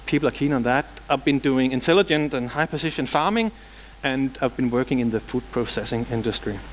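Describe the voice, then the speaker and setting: A man's voice: medium pitch, fairly quick, a little hiss or hum. A middle-aged man from Denmark with a Danish accent, giving a technical conference talk in a large hall with dry jokes and casual asides. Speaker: conference speaker.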